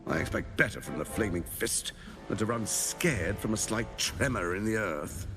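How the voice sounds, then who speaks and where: A man's voice: on the low side, expressive, moderate pace, over music, close to an everyday voice. Haughty scheming lord, acted by a man, swooping delivery. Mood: condescending. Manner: smooth condescension, commanding, theatrical. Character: haughty, cold-blooded scheming lord about to be inaugurated. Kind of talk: dramatic video game acting.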